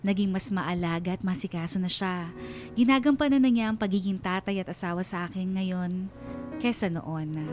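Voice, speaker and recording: A lowish female voice of an actress as a heartfelt wife and mother telling her own story. It is scripted, reflective radio drama narration over music. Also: darkish tone, close to an everyday voice. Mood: grateful, reflective.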